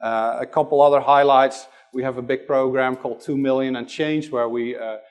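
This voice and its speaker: A man's voice: medium pitch, steady tone, brisk, smooth. A middle-aged man from the Netherlands with a Dutch accent, giving a conference talk and hesitating often with fillers. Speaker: conference presenter.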